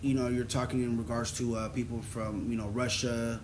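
Young guy interviewer, easygoing and slangy, mid pitch, with faint background ambience. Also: little pitch movement, fairly quick, a little hiss or hum. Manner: laid-back, agreeable, slangy. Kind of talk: casual interview talk.

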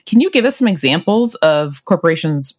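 A woman's voice: lowish voice, big pitch swings, a little fast, breathy edge. A woman co-hosting a podcast, asking long, loosely worded questions in conversation. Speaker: podcast co-host.

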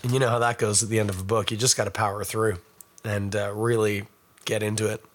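A middle-aged Canadian man, hoarse and raspy from a cold, hosting a casual podcast and rambling in a self-mocking way to viewers. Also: fairly low pitch, little pitch movement, fast, fairly bright tone. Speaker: podcast host. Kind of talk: casual live-stream chat.

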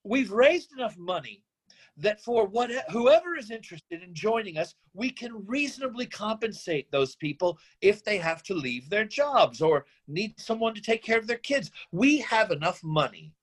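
A man acting a middle-aged, free-spirited father in a staged screenplay reading, earnest, effusive and persuasive, with halting phrases. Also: high-pitched, very animated, slightly rough, full character voice. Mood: eager, persuasive.

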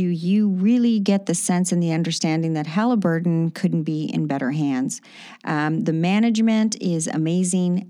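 A woman's voice: fairly low pitch, warm tone, no room sound, studio quality. A woman hosting a radio talk show, promoting her products with enthusiasm and lots of fillers. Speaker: radio show host and magazine publisher.

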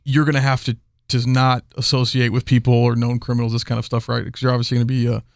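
A man's voice: mid-range voice, completely flat delivery, fast, creaky, no room sound, clean studio sound. A man talking casually as a podcast guest, rambling with many fillers and restarts. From Houston area. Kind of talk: casual conversation.

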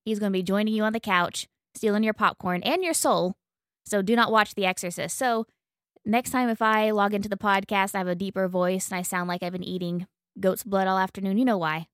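A woman's voice: mid-range voice, fairly even intonation, brisk, no echo, studio quality. A woman co-hosting a podcast, bantering in a playful, jokey way. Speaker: podcast co-host. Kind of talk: casual chat.

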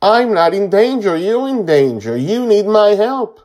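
A man's voice: medium pitch, big pitch swings, fast talker, dark tone, pitched above the performer's own voice. A man voicing a frightened, stubborn young boy in a storybook tale, pleading emphatically. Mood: tearful, pleading.

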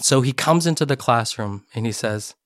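A middle-aged man, a podcast guest, tells a personal story earnestly in a relaxed interview and often restarts his sentences. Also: medium pitch, moderate pace, no echo, studio quality. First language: Korean. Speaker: podcast guest, education advisor. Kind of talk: conversational interview.

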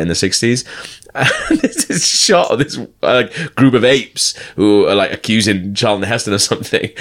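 A man's voice: on the low side, a bit bright. A man co-hosting a podcast, chatting casually about a TV episode, laughing often and swearing freely. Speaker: podcast co-host. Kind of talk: casual chat reviewing a TV episode.

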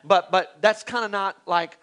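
High-voiced energetic pastor, man. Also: normal speed. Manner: energetic, hypes the crowd. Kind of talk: sermon introduction to a congregation.

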